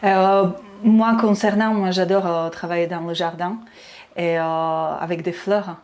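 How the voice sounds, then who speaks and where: Soft-spoken woman from Slovakia. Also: lowish voice, fairly even intonation, a little slow. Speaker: interviewee, distillery farmer. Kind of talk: casual conversation.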